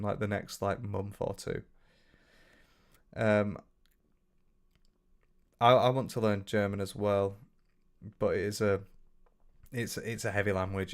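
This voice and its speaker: Young northern English male streamer, lowish voice, loose rambling chat with restarts. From north of England. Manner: rambling, frequent restarts, swears casually. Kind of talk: casual livestream chat reading comments.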